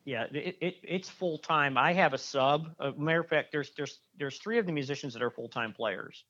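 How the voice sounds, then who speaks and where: A man's voice: on the high side, moderate pace, pushed and bright, dull audio. A man talking casually as a guest musician, rambling with frequent restarts and fillers. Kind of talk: casual interview chat.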